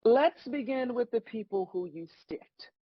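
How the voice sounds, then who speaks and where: Husky, sardonic God played by a woman, swooping delivery. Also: fairly high pitch, animated, measured pace, no echo, lo-fi sound. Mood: stern. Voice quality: husky, raspy. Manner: dry, scolding, sarcastic. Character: God as a stern, sardonic female celestial judge. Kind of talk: comedic script table read.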